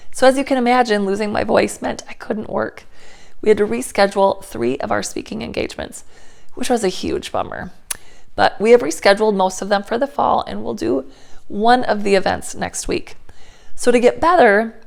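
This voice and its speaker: A woman, slightly hoarse as her voice returns after laryngitis, giving viewers a candid, earnest personal update. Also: mid-range voice. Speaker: inspirational speaker and channel host.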